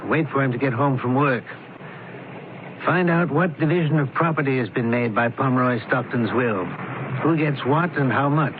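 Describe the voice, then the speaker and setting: An actor playing a brusque, commanding, brainy doctor who investigates cases, clipped and dryly probing, in a radio mystery drama. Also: male voice, medium pitch, normal speed, lightly acted. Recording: muffled, noisy old AM radio broadcast.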